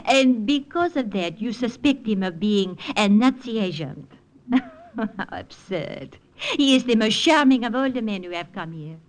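A woman's voice: fairly high pitch, expressive, measured pace. A woman playing a teasing, coy Frenchwoman with a French accent in an old radio drama. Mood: teasing, mocking. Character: charming, teasing young Frenchwoman of a chateau. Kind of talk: 1940s-50s radio drama acting.

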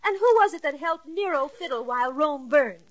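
Doting young girlfriend, high and coy, vintage radio comedy, dull sound. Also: lively intonation, brisk, very noisy. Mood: encouraging. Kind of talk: 1940s radio comedy acting. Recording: muffled old radio recording.

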